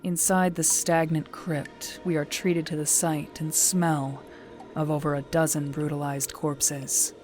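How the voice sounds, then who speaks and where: An actress playing a battle-hardened warrior sister, who narrates in the first person in a measured, firm way. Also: female voice, low voice, little pitch movement, a little mellow, faint music behind. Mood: calm firm. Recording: rain ambience behind the voice.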